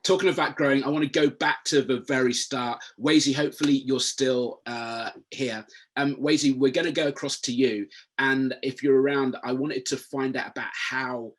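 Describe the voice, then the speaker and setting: A man hosts a webinar panel over a video call. His voice is husky and sits at an ordinary pitch. He talks in a friendly, rambling way and keeps restarting his sentences. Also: steady tone, normal speed. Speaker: webinar moderator. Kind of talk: casual panel chat.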